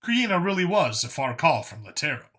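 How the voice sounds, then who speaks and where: A man's voice: a little high, big pitch swings, brisk, a bit bright. A man reading a scripted story as an audiobook narrator.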